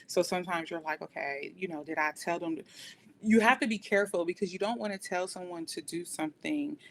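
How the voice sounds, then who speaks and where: Low-voiced American woman, reflective. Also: brisk, gravelly. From United States. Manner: reflective, explanatory, often says "you know". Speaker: podcast guest, police dispatcher. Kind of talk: conversational interview storytelling. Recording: noticeable background noise, low rumble.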